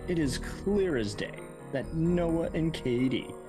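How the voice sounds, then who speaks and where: A man's voice: fairly high pitch, animated, slow. A man playing a cocky male true-crime video host in an audio drama, brash, casual and opinionated. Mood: brash, confident. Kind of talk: online video channel monologue in audio drama. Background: music under the voice.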